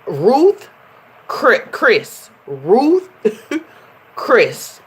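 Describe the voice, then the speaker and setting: A woman talks straight to her livestream viewers in a chatty, animated way. Her voice is stuffy and nasal from a runny nose, with a slight hoarseness underneath. She keeps it loose and conversational, like a coach catching up with her followers. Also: a little high, very slow, poor audio. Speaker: life coach hosting a livestream. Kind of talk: casual livestream chat.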